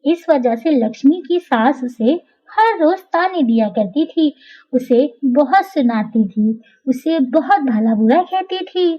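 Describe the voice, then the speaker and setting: A woman's voice: high-pitched, expressive, takes it slowly. A woman narrating a scripted children's cartoon story. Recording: dull, lo-fi sound.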